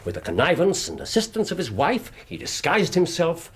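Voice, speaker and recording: An actor playing a shrewd, scornful Victorian detective in a British radio drama, English accent, speaking with precise, theatrical delivery. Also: male voice, on the high side, wildly swooping pitch, moderate pace, breathy edge, heavily acted. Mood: expounding, dry.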